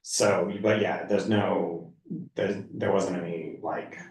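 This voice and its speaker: A man leading a casual group discussion, halting and hedging as he thinks aloud. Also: low-pitched, steady tone, gravelly. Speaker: reading group discussion leader. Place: indoor room at a group meeting. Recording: echoey room, distant mic.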